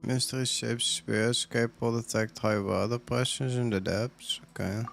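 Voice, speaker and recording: A man's voice: medium pitch, steady tone, normal speed, gravelly, fairly bright tone, slight background noise. A man giving casual live gameplay commentary as a streamer, thinking aloud and reading on-screen text and chat. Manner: thinks aloud, questioning himself while playing. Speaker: game streamer.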